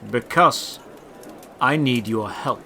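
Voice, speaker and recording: A man voicing a tall, mysterious old man in a fantasy audio drama, gruff and calm, speaking slowly and deliberately. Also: mid-range voice, a little hiss or hum. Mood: solemn, mysterious. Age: older. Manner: slow, deliberate, measured delivery. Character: tall old robed man, mysterious, cold yet calming.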